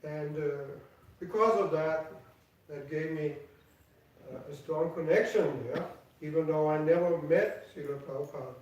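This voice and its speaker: Older man, slow halting delivery, repeats words when restarting, echoey hall, distant mic. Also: a little high, darkish tone, dull audio, slight background noise. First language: Danish. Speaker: devotee giving an offering speech. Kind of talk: personal tribute speech. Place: temple hall.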